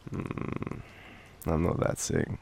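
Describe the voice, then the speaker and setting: An Australian man with a cold speaks in a soft, halting, affectionate way, as in an intimate boyfriend roleplay. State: sick with a cold. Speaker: audio roleplay performer playing an affectionate sick boyfriend. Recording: background noise of rain. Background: rain ambience.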